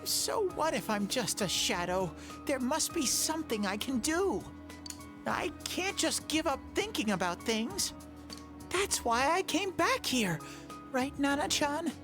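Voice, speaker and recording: A woman voicing a small, male talking bear creature in a video game, speaking earnestly and haltingly, often apologetic. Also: sits high, sing-song, music in the background. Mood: earnest resolve.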